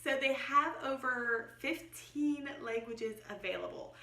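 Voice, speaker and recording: Bright-voiced woman, echoey room. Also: high voice, animated, a little slow. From Texas. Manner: enthusiastic, emphatic. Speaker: homeschool vlogger reviewing a subscription box. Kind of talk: casual chatty product review to camera. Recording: echoey, roomy sound.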